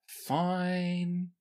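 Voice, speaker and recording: A game master voicing a drugged, childish, injured prince with a whiny, drawn-out delivery in a tabletop game. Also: mid-range voice, pitched above the performer's own voice. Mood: petulant.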